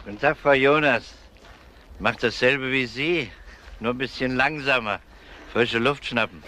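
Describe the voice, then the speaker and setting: An actor playing an easygoing, polite but probing police detective in a German crime radio drama, casual and understated. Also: male voice, medium pitch, unhurried, sharp tone, slight background noise.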